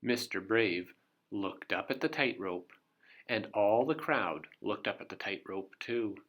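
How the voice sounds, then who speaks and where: A man reading a children's story aloud as an expressive narrator. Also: lowish voice, a little slow. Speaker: storybook reader.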